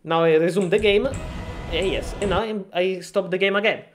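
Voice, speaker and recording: A man's voice: high-pitched, normal speed, faint music behind. A man from Italy speaking English with an Italian accent, talking through a game tutorial in a hesitant, stop-start way with lots of fillers. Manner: hesitant, many fillers and restarts, self-deprecating. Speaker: gaming tutorial maker. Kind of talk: casual screen-recorded tutorial.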